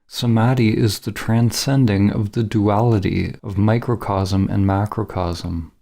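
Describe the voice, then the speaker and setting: A man narrating a scripted guided meditation in a measured, solemn, instructional manner as the guide. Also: on the low side, steady tone, creaky, a little mellow.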